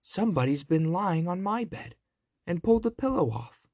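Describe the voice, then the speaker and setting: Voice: medium pitch, very animated, normal speed, warm tone, no echo, studio quality, voice pushed up. A storyteller voicing a middle-sized, proper and concerned mother bear from a children's storybook. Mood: put out.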